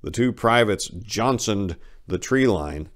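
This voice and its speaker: A man gives a dramatic reading of a war story as narrator. His voice sits fairly low and moves through wide, animated swings in pitch. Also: takes it slowly.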